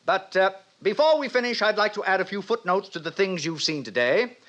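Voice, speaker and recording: An actor playing a brisk, self-satisfied director who lectures young students pompously in 1950s radio drama style. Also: male voice, high voice, animated, fairly bright tone, muffled recording. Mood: grandly self-satisfied. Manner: pompous, didactic, declamatory. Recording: vintage 1950s radio recording.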